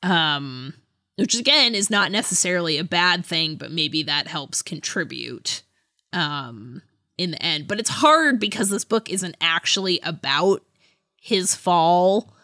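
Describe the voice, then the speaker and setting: A woman's voice: fairly low pitch, lively intonation, a little slow, pushed and bright, no room sound, studio quality. A woman co-hosting a podcast, talking through a book casually in a thoughtful, hesitant way. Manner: hesitant, thoughtful, hedging delivery. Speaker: podcast co-host.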